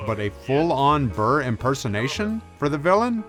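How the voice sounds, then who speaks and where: Man, video-essay host, scripted yet conversational, average pitch, faint music bed. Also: big pitch swings, normal speed, a bit bright. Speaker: host, film commentator.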